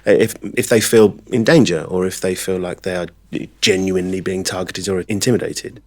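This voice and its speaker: A man from England, a training company director, answering interview questions reflectively with many pauses. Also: sits low, fairly even intonation. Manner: reflective, many fillers and restarts.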